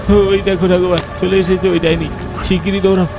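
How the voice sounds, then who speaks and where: Man acting as a frightened cartoon grandson, high male voice, urgent, anxious, music and rain behind. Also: level tone, brisk. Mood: urgent fear. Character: frightened young grandson urging his grandmother onward.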